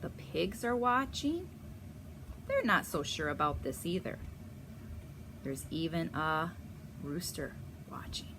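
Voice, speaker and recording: Slow, animated woman reading to children. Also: low voice, noisy background. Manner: teacherly, addressing children with questions. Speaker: story reader for children. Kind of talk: expressive picture-book read-aloud with explanations.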